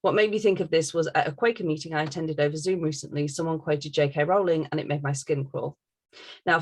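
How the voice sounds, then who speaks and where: A woman hosting a casual, chatty podcast. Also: low voice. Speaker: podcast host reading listener questions.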